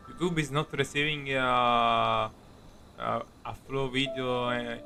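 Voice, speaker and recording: Sardonic man streaming a game over music. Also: medium pitch. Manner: sardonic, repetitive, sweary. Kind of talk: casual live playthrough commentary.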